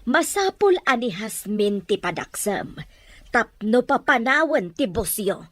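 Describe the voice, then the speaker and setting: Domineering villainess mother, radio soap. Unhurried, animated intonation, dry studio sound. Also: a little high, no room sound. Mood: plotting. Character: scheming mother, older woman, domineering and spiteful. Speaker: radio drama actor. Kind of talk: Ilocano radio drama acting.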